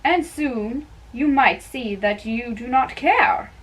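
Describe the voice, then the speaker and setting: A woman doing an amateur dramatic reading of a play, haltingly, often repeating and correcting her lines. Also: on the high side, expressive, a bit bright, far from the mic, rough recording.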